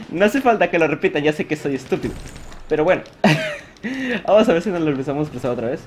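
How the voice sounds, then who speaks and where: Man, gameplay commentary, excitable, self-mocking, laughs a lot, clear game sounds behind. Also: sits high, unhurried, long pauses. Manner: excitable, laughs often, mocks himself. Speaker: gaming youtuber. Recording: game audio noise behind the voice.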